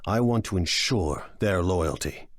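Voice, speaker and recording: A man's voice: deep voice, expressive, measured pace, no room sound, clean studio sound. A man playing a ruthless, ambitious crime boss in a video game, speaking in a smug, imperious, commanding way. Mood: calculating. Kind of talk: cinematic video game acting.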